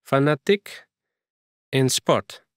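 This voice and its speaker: A man's voice: medium pitch, very slow, fairly bright tone, no room sound, studio quality, pitched above the performer's own voice. A man voicing a friendly young Ukrainian woman in a storybook reading, speaking with a Ukrainian accent and searching hesitantly for words. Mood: eager, halting.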